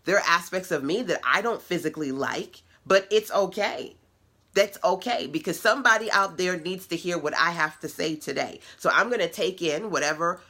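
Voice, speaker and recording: A middle-aged woman with a deep but bright, forceful voice teaches a live web class. She talks to her audience in a conversational, encouraging way and tosses in playful asides. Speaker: web class host and business coach. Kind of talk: casual teaching to an online audience.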